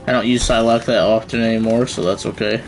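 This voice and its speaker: A man's voice: lowish voice, flat delivery, quick pace, gravelly. A man chatting casually as a game streamer, slangy and laid-back. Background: faint game music.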